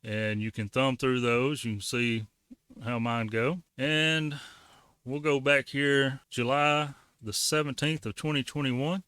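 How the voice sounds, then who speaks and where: A man's voice: mid-range voice, a bit bright, clean tone, dry recording. A man giving a casual, folksy how-to walkthrough as the host of a hobby tutorial. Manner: folksy, colloquial. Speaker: ham radio tutorial host.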